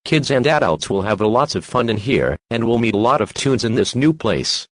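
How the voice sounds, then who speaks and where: A man's voice: mid-range voice, lively intonation, normal speed, no room sound, close to an everyday voice. Dry-sounding formal male broadcast reporter, acted by a man. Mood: matter-of-fact. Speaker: news correspondent and alert announcer.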